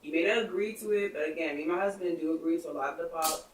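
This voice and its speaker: A woman's voice: deep voice, very fast, a little hiss or hum. A woman in her thirties co-hosting a podcast, explaining her views step by step in casual chat. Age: 30s. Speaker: podcast co-host. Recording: echoey room, far from the mic.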